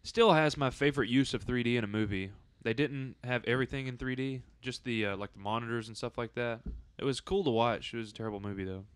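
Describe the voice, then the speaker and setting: A man's voice: medium pitch, fast, clear, resonant tone. A man speaking as a podcast guest, telling things in a loose, conversational way. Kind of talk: casual storytelling chat.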